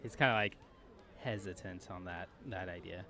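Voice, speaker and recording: A man working as game master, improvising narration and rules for a tabletop game while thinking aloud in a halting way. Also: sits low, crowd noise.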